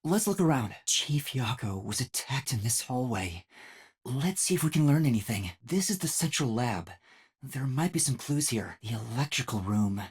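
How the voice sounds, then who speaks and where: Timid young detective trainee, video game lines, earnest, hesitant, animated intonation, slightly husky. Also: male voice, mid-range voice, normal speed. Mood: thoughtful. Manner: earnest, hesitant, thinking aloud.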